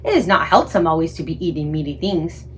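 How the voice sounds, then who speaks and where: A woman's voice: low voice, expressive, fairly quick, a little hiss or hum. A woman voicing a kindly, plucky male giant who speaks earnestly in muddled grammar, in a storybook read-aloud. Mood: eager coaxing. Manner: earnest, garbled childlike grammar.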